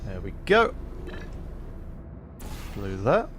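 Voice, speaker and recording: Male game streamer, lowish voice, casual musing commentary, halting, playful asides, faint background noise. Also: wildly swooping pitch. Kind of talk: casual live gameplay commentary.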